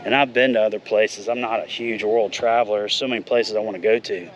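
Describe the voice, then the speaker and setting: A man's voice: fairly low pitch, fairly even intonation, quick pace, lo-fi sound, slight background noise. A man in his fifties talking in a casual, rambling vlog, candid and a little profane. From United States. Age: 50s. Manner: candid, rambling, mild swearing. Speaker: vlogger.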